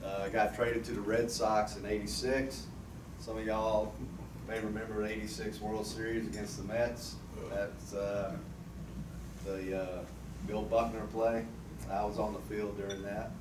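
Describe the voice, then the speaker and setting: A man, a baseball manager speaking at a club meeting in a relaxed, rambling way with many fillers. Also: on the low side. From Texas. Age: 50s. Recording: echoey room, far from the mic, noisy.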